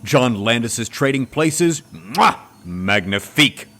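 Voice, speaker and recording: A man's voice: medium pitch, expressive, slow, pushed and bright, full character voice. An actor playing an affable male teammate who loves films, in a comedy audio drama, easygoing and supportive.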